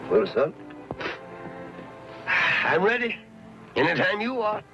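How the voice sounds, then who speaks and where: A talkative frontier preacher from an old Western, with a Southern drawl and a slightly high voice. He sermonizes earnestly in rustic phrases about souls and the Lord. The sound is muffled, with music clearly behind him. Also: very animated, slow pace, bright, forceful tone, heavily acted. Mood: earnest, admonishing. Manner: folksy, sermonizing, rustic speech. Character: folksy frontier preacher, earnest, pious, talkative soul-saver. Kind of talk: Western film acting.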